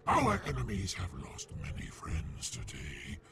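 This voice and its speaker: A man voicing a menacing, imperious robot warlord in a video game, with a gravelly voice. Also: extremely low pitch, wildly swooping pitch, a little slow, bright, forceful tone, exaggerated voice. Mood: gloating. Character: menacing evil robot warlord, scheming and aggressive.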